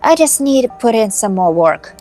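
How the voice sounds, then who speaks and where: A woman's voice: on the high side, big pitch swings, normal speed, warm tone, soft background music. A woman playing a breezy, flirty, confident hacker who talks casually and familiarly in an amateur visual novel drama. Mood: breezy, teasing.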